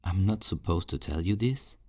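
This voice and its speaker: A man playing a calm, kindly afterlife assistant in an ASMR roleplay, patiently explaining things in a low, gravelly voice. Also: moderate pace, no echo, studio quality. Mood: reassuring, confiding. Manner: patient, reassuring, explanatory customer-service talk. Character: calm, kindly male afterlife assistant selling souls new lives. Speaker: voice actor in audio roleplay. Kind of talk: ASMR roleplay, soft-paced scripted one-sided conversation.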